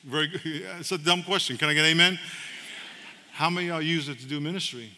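A man's voice: on the high side, fairly quick, sharp tone. A man pastoring and hosting, energetically rallying the congregation and introducing his guests onstage. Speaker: pastor and host. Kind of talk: hosting an onstage church interview. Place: large church auditorium. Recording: reverberant church hall.

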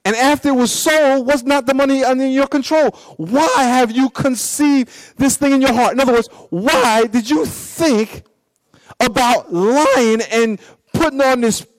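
A pastor in his fifties with a high voice, preaching bluntly and with humor. His pitch swings up and down and his pace jumps between slow and fast. The sound is dry and close, with little room around it. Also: no room sound, poor audio. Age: 50s. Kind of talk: sermon.

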